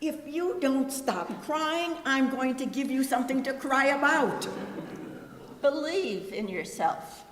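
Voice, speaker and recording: A woman with a fairly high, very animated voice recites in a readers theater style. Her delivery is emphatic and scolding, and slow. The sound is reverberant, like a church hall. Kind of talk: theatrical group recitation of motherly sayings. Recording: echoey church hall, background noise.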